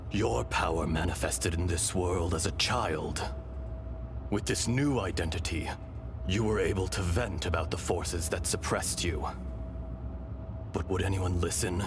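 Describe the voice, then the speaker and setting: An actor playing a calm, wise dragon-spirit fragment inhabiting an old man in a game, explaining in measured, solemn speech. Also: low-pitched. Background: game score.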